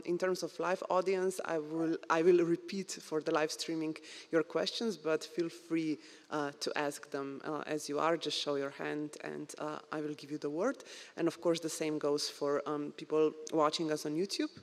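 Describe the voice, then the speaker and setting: Fairly high-voiced man moderating a conference Q&A, polite with many fillers, heard in a reverberant hall. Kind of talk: moderating a Q&A session.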